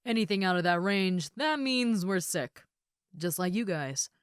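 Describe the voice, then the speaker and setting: A woman's voice: on the low side, animated, measured pace, clean tone, no room sound, clean studio sound. A woman playing a muscular female ogre roommate in a roleplay audio, friendly, easygoing and caring, with a casual, chatty way of talking and hesitant asides. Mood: easygoing, helpful. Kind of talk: roleplay audio acting, addressed to the listener.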